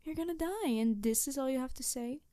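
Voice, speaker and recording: Woman voice actor as a snarky, bitter female supervillain with ideals; high voice, close dry sound, intense roleplay delivery. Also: brisk, no room sound, natural voice. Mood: mocking. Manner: snarky, intense, sighing, shifting from emphatic to hushed. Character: snarky, embittered, idealistic female supervillain confronting her nemesis. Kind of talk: ASMR audio roleplay monologue to the listener.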